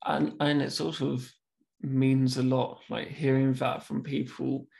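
A man's voice: medium pitch, flat delivery, vocal fry, a little mellow. A young man who stammers, talking openly about it in a casual conversation as host. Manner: speaks with a stammer, pausing and restarting words.

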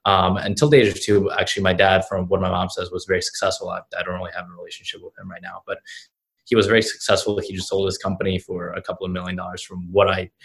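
Casting: 19-year-old man from Russia, very deep and monotone, loose rambling interview delivery. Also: quick pace, rough recording. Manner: rambling, heavy on fillers like "you know" and "right?". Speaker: guest entrepreneur. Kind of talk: casual interview answers.

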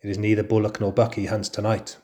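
A man voicing a calm, wise mother wolf who speaks quietly and dryly in a storybook reading. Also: lowish voice, close to an everyday voice. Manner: quiet, dry and measured.

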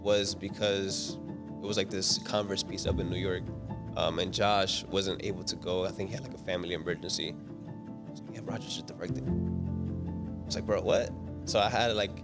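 A young man from the Dominican Republic, a guest director talking casually about his path, relaxed and slangy with lots of fillers. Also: sits low, moderate pace, loud music behind the voice. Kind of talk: casual interview talk.

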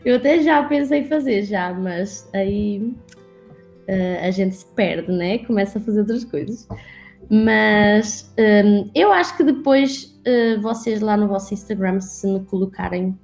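A woman's voice: medium pitch, unhurried, soft background music. A woman from Brazil, a guest on a podcast who talks casually and laughs easily. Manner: laughs easily, warm and relaxed. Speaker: podcast guest, forensic scientist. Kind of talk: casual interview chat.

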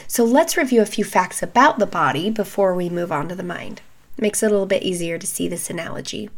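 A woman in her thirties with a scratchy, hoarse voice, calmly hosting an educational podcast while recovering from an illness. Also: lowish voice. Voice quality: scratchy, gravelly voice. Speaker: podcast host. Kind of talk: scripted educational podcast talk.

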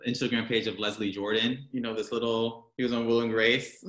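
A man's voice: mid-range voice, little pitch movement, brisk, fairly bright tone, reverberant, rough recording. An American man chatting casually as a guest, telling stories animatedly and laughing often. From United States. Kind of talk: casual chat. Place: home in Berlin.

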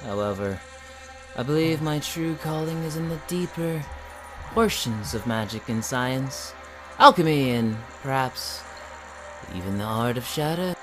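A man's voice: medium pitch, lively intonation, unhurried, over music, lightly acted. A man playing a bold, loyal and ambitious young apprentice healer in a fantasy audio drama, speaking with earnest expression. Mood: frustrated yearning.

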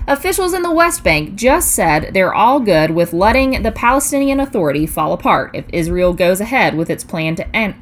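A woman hosting a scripted news digest podcast in a casual, chatty way with playful asides. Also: mid-range voice.

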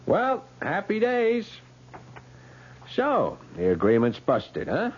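An actor playing a brash, carefree young delinquent in a radio drama, with a casual, slangy delivery. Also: male voice, sits high, wildly swooping pitch, takes it slowly, heavily acted. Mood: breezy. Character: brash, carefree delinquent young man who likes his drink. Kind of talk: 1970s radio drama acting. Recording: dull, faintly noisy old radio recording.

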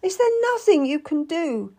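A woman narrator plays a Tudor servant woman in a historical audiobook. Her voice sits high and moves freely in pitch. She is earnest and urgent, beseeching her betters. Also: very animated, moderate pace, warm tone, slightly rough, exaggerated voice. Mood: tearful, desperate. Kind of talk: dramatised audiobook character.

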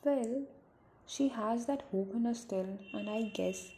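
A woman's voice: on the high side, takes it slowly, warm tone, low-quality recording. A woman playing a worried, earnest niece burdened by a sad secret in an amateur radio drama. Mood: sorrowful. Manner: earnest, grave.

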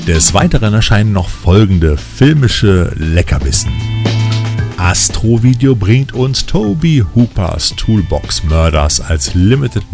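A man reading playful, scripted film release news as the host of a weekly show. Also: sits low. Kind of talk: scripted upbeat review narration.

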